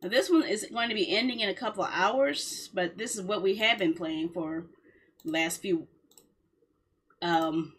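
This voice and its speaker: A woman's voice: mid-range voice, normal speed, a bit bright, slightly rough. A woman game streamer chatting casually on a livestream in a playful, rambling way.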